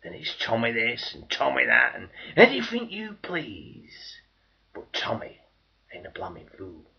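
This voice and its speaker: A man reciting dramatic verse as an aggrieved, bitterly sarcastic Victorian British soldier, theatrical, with a husky, gravelly voice. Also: medium pitch, huge pitch swings, measured pace, dull audio, heavily acted. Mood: bitter mockery. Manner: theatrical, swinging from indignant shouts to mocking whispers.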